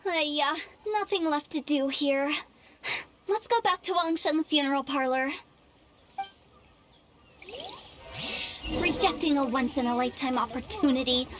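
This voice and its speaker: Brief: impish young funeral director, high bright voice, pushy salesgirl charm. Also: normal speed. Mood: breezy. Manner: playful, salesy pitch. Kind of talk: video game voice acting. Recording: game dialogue over music.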